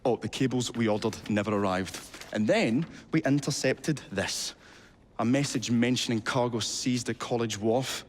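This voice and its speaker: A man's voice: mid-range voice, moderate pace, long reverb tail, slight background noise, lightly acted. An actor playing an earnest, modest Victorian inventor in a video game, courtly and a little hesitant in his speech. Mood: flustered, earnest.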